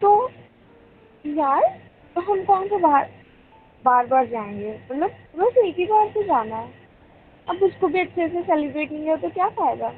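A woman's voice: very high voice, sing-song, unhurried, long pauses, full character voice. A woman playing an insistent, pouty young bride-to-be in a casual phone-call drama. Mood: pouty, stubborn. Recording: tinny phone line, faint noise.

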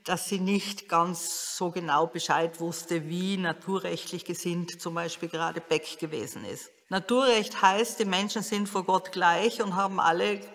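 A woman's voice: lowish voice, a little slow, few pauses, a bit bright. A woman giving an explanatory interview as a history expert, recorded in a reverberant hall.

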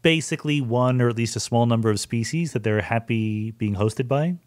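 A man's voice: medium pitch, moderate pace, darkish tone. A man hosting a podcast interview, asking curious, engaged follow-up questions in a conversational way. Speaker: podcast host.

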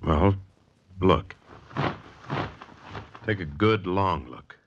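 A man's voice: sits low, lively intonation, drawn-out words, heavily acted. An actor playing a troubled, earnest artist in his thirties in a 1940s radio drama. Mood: uneasy. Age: 30s. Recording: dull vintage radio recording with noise.

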